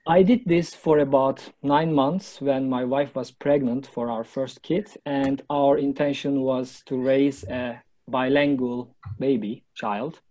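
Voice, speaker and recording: Thirties man with a Turkish accent, mellow tone, speaking from the podium with emphatic stresses. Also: medium pitch, steady tone, slow. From Turkey. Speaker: club speaker. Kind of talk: prepared public speech.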